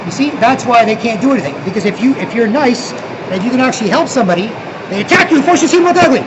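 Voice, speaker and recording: A man's voice: extremely high pitch, animated, very fast, dull audio, background noise. A Canadian man from Toronto vlogging outdoors, rambling, restarting often and tagging lines with "right?". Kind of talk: rambling street vlog monologue.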